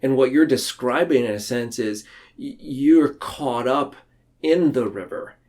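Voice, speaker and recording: A man talking reflectively and explaining ideas in a podcast discussion, haltingly, often circling back to rephrase. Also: mid-range voice, a little mellow.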